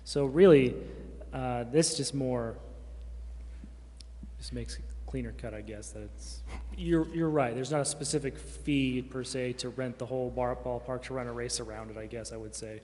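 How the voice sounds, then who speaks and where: A man explaining things informally as a staff member, halting and often correcting himself. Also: medium pitch, fairly even intonation, darkish tone. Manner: halting, self-correcting, casual. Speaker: city staff member answering council questions. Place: large indoor council chamber. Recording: reverberant council chamber, room noise.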